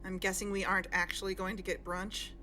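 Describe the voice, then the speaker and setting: A woman's voice: medium pitch, level tone, brisk, bright, forceful tone, a little hiss or hum, natural voice. A woman playing a paranoid co-investigator who asks probing, wary questions.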